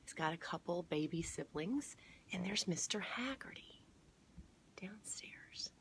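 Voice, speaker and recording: A woman hosting a children's storytime, reading aloud and pausing to explain and point things out to her listeners. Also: fairly low pitch, expressive, fairly bright tone, in a whisper. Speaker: storytime host at a museum garden. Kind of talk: read-aloud storytime for children with chatty asides.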